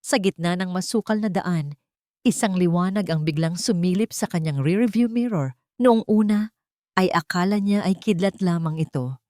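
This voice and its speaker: A woman with a slightly low voice narrates a dramatic audiobook. Her delivery is solemn and literary, broken into short, deliberate phrases, and the recording is dry and close. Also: warm tone, dry recording. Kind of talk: dramatic scripted story narration.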